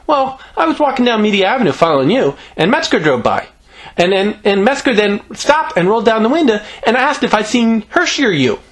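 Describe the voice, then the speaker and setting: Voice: mid-range voice, very animated, fairly quick, voice pushed up, close to an everyday voice. A man voicing a cheerful, stubborn little girl with a chirpy, questioning, matter-of-fact manner in a story. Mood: eager, matter-of-fact.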